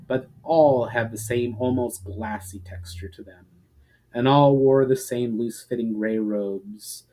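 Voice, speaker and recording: A man narrates a fantasy tale as a dramatic reading. His voice is mellow and medium in pitch, and his storytelling is measured and expressive. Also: low-quality recording. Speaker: author reading own story.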